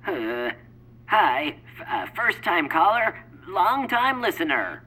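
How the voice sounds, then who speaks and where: A woman voicing an awkward, nervous phone-in caller in a comic audio drama, halting and stumbling. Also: on the low side, very animated, bright, forceful tone, breathy edge, full character voice.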